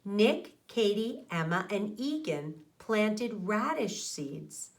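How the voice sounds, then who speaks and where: A middle-aged woman teaches young children through a read-aloud. Her delivery is unhurried and expressive, with lively rises and falls in an average-pitched voice. She speaks straight to her listeners, close to the mic. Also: a little hiss or hum. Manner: expressive, addressing children directly. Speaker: elementary school teacher. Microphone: microphone on a boom arm close to mouth.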